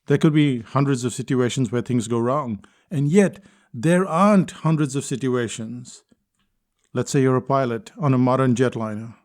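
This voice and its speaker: A man calmly delivering a reflective spoken essay, telling a personal story at a measured pace with deliberate pauses. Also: medium pitch. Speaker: speaker and consultant.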